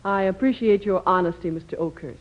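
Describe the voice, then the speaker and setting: A woman's voice: mid-range voice, fairly quick, close to an everyday voice. An actress playing a prim, commanding frontier woman in a 1950s radio drama, with an American accent. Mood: brisk, firm. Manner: commanding, crisp. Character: bossy, prim, no-nonsense frontier woman minding a baby. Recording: dull 1950s radio recording.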